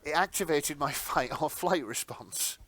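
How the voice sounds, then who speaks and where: A man's voice: on the high side, unhurried, a bit bright, no echo. A man co-hosting a podcast in casual, jokey chat, with some casual swearing. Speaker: podcast co-host.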